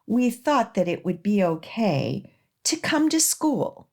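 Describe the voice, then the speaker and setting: A woman's voice: mid-range voice, sing-song, takes it slowly, a little mellow, exaggerated voice. A woman voicing earnest pets pleading politely in a children's storybook. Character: earnest pleading school pets writing a note.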